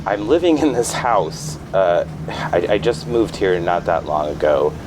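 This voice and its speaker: Man acting as a halting, bewildered low-voiced guy. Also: lively intonation, noisy background. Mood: hesitant, uneasy. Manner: hesitant, stammering, repeats words. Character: bewildered, halting man living alone in a rural house. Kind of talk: naturalistic fiction podcast acting, conversational.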